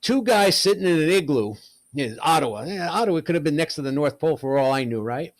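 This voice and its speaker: A man's voice: a little high, lively intonation, fast, no echo. An older man from New York City giving a casual talk in a chatty, colloquial storytelling manner. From New York City, United States. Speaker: presenter, radio hobbyist.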